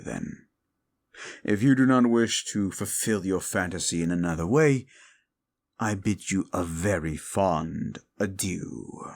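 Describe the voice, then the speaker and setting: A man playing a haughty, seductive fallen-angel demon in an intimate audio roleplay, with a gravelly voice and archly formal, taunting delivery. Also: exaggerated voice. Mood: curt, dismissive. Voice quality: gravelly, creaky low voice. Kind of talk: intimate second-person audio roleplay acting.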